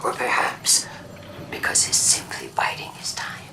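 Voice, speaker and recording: A woman playing a stern, suspicious faction leader in a video game, making an accusatory, deliberate speech in a breathy voice. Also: in a whisper, lightly acted. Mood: suspicious, ominous. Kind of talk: cinematic video game propaganda broadcast. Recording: distant voice under loud music. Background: loud game soundtrack.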